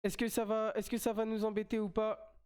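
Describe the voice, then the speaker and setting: Smooth-voiced French man streaming a game. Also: high-pitched, completely flat delivery, brisk, darkish tone. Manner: chatty, repeats himself while playing. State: has a slight cold. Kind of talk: live mobile game commentary.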